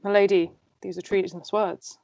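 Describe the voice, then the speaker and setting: A witty, insolent dwarf nobleman, read by a woman in an amateur table read. The delivery is dry and sardonic, at an ordinary female pitch. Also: level tone, moderate pace, vocal fry, a little mellow, no room sound, muffled recording, natural voice. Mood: dry, wry.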